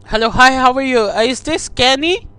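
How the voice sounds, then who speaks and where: A man making a comedic prank phone call, talking in a halting, coy, over-affectionate way. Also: very high-pitched, bright, forceful tone, clean tone. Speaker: prank caller.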